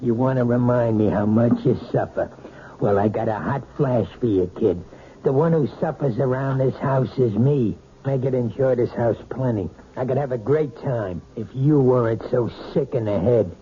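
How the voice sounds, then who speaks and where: A noisy, muffled old radio broadcast carries a bullying husband played by a male actor. He is blunt, scornful and quick to anger. His tone is dark and rounded as he badgers. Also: medium pitch, natural voice. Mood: scornful, angry. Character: overbearing, bullying, short-tempered husband. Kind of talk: 1970s radio drama acting.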